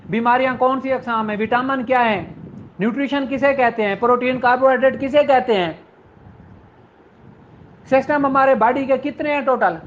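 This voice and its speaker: A man giving a slow, deliberate classroom law lecture, addressing his exam students in a fatherly way. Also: extremely high pitch. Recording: muffled lo-fi recording, faint noise.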